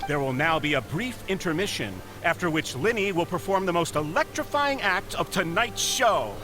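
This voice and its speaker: A man's voice: high-pitched, lively intonation, moderate pace. An actor playing a young male stage announcer who speaks in a grand, theatrical way, in a video game. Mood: formal. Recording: game audio with loud music.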